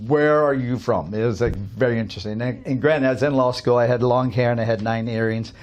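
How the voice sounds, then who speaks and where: Middle-aged man from Canada, upbeat interview guest, rambling talk with fillers, mid-pitched voice. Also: a little fast, dull audio. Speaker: guest, cafe owner. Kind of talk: casual storytelling interview.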